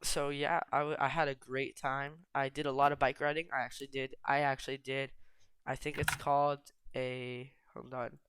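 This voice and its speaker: A man's voice: medium pitch, flat delivery, normal speed, a bit bright, smooth. A teenage boy whose first language is Greek, chatting casually and telling a rambling story about his summer. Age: teens. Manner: rambling, self-correcting storyteller. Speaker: podcast co-host. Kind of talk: casual chat.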